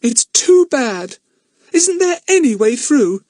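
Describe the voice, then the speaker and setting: A man's voice: extremely high pitch, very animated, slow, a little mellow, no echo, pitched above the performer's own voice, heavily acted. A man voicing a timid young girl who sounds anxious and close to tears.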